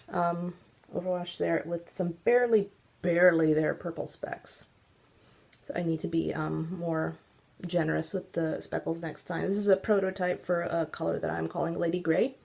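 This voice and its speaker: Woman host, nasal from congestion, lowish gravelly voice, casual and meandering. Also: a little mellow. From Central California. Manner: chatty and rambling, many ums. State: stuffy, congested. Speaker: podcast host. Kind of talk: casual knitting video podcast chat.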